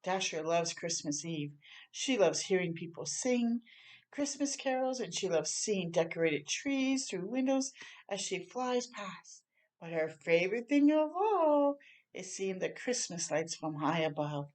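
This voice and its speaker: A woman reading a children's story aloud expressively, with animated asides and questions to young listeners. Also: on the low side, a little slow.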